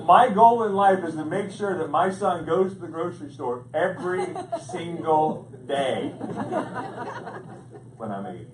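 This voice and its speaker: A male pastor preaching a humorous sermon, telling jokes in a playful, animated way. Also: fairly high pitch. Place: large church hall. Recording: echoey hall, distant mic, background noise.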